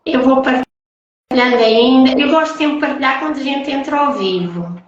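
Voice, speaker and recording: High-voiced Portuguese woman in an echoey room. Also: moderate pace, highs cut off. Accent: European Portuguese. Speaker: host, holistic therapist. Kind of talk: casual live-stream chat. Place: echoey indoor room, online live call. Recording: very echoey room, live stream.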